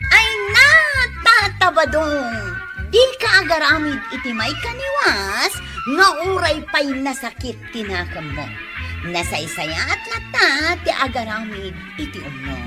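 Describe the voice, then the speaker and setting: An actress playing an exasperated wife in an Ilocano radio comedy drama, nagging emphatically in an Ilocano accent. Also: female voice, high voice, wildly swooping pitch, measured pace, loud music behind the voice, exaggerated voice. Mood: scolding. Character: exasperated scolding wife of a wayward man.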